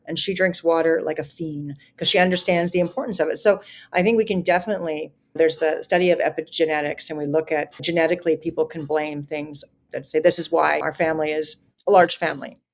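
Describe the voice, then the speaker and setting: Gravelly-voiced earnest woman in her fifties. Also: low-pitched, fairly even intonation, fast talker. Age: 50s. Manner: earnest, talks in long run-on thoughts. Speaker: guest. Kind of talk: podcast interview, casual conversation.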